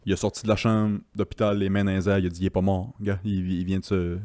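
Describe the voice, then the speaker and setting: A man's voice: sits low, level tone, fast, mellow, dry recording, clean studio sound. A man with a Quebec French accent hosting a podcast in loose, joking, casual chat. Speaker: podcast host. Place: podcast studio.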